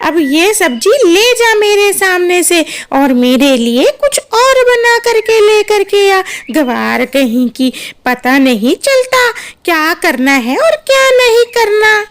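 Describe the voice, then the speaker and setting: A woman voicing a cranky, fault-finding older mother-in-law bird with a bossy, drawn-out cartoon delivery. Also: extremely high pitch, big pitch swings, heavily acted. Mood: scolding, irritated.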